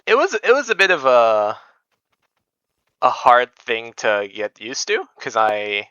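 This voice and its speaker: A young man from Indonesia chatting casually as an interview guest, hesitant and modest, with a clear, smooth voice. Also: medium pitch, sing-song, takes it slowly, pushed and bright, dry recording, muffled recording. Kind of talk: casual chat.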